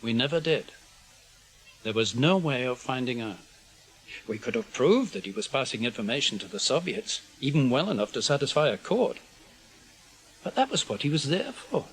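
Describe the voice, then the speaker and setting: An actor playing an older British intelligence officer in a radio drama, guarded and weary, speaking in measured, clipped phrases. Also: male voice, medium pitch, sing-song, fairly bright tone, poor audio. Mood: grave, matter-of-fact. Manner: measured, clipped, explanatory delivery in short phrases. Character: senior male British intelligence officer, guarded, weary, conscience-burdened spymaster.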